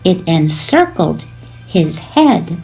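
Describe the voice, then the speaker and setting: A woman reading a storybook poem aloud in an expressive, measured way with pauses between phrases. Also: lowish voice, vocal fry, breathy edge, low-quality recording. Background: music with jingle bells.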